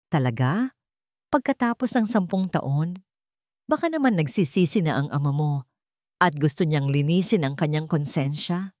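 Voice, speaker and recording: A woman's voice: deep voice, expressive, normal speed, long pauses, darkish tone, no room sound, clean studio sound. A woman narrator voicing a bitter, guarded female forensic expert in a dramatic audio storybook. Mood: cold, sarcastic. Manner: cold, sarcastic.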